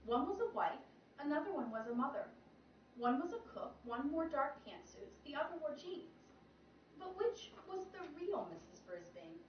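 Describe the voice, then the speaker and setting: A woman reads a children's chapter book aloud with lively, swooping pitch. She sits back from the mic in an echoey room, and the dull recording carries a faint hiss. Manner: animated, shifting pitch for characters. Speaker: teacher reading aloud. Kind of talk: storybook read-aloud. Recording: roomy, distant, dull sound with faint noise.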